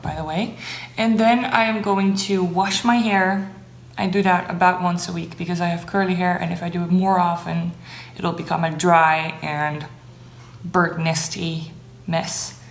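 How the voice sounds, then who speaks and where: A young woman whose native language is Dutch talks to her viewers in a casual, gushing vlog style. She takes her time at an unhurried pace. The room is noticeably echoey, with faint noise behind her. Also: on the low side, gravelly. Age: young adult. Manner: enthusiastic, gushing about things enjoyed. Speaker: book vlogger.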